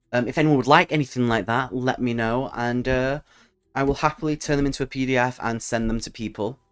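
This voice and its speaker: A man's voice: medium pitch, smooth. A man hosting a solo tabletop roleplaying channel, speaking as a storyteller to his listeners. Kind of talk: storytelling narration and casual channel talk.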